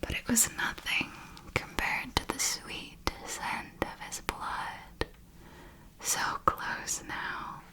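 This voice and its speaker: A woman voicing a seductive, predatory female vampire mentor in a breathy whispered ASMR roleplay, with lingering, deliberate phrasing. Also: close to an everyday voice, rough recording. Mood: seductive, savoring.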